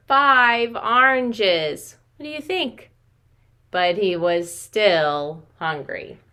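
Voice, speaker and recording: An American woman in her thirties, a preschool teacher reading and explaining to young children in an expressive way with questions and drawn-out words. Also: medium pitch. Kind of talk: children's story read-aloud and craft instruction. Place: small home room with sloped ceiling.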